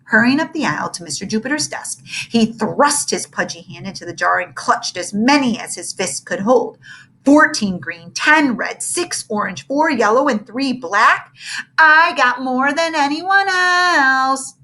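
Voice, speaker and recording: A woman reading a children's book aloud as storyteller, with an expressive, theatrical delivery. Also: medium pitch, moderate pace, poor audio. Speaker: story reader.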